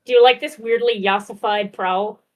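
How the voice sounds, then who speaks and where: A woman's voice: mid-range voice, fairly even intonation, moderate pace. A woman in playful casual chat with friends, joking and irreverent.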